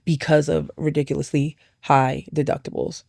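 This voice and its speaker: A woman with a mid-range, mellow, gravelly voice, speaking earnestly in casual talk. The recording is dry and close. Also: deep voice, very animated, normal speed, no echo. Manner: earnest, says "like" often. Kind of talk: casual roundtable chat.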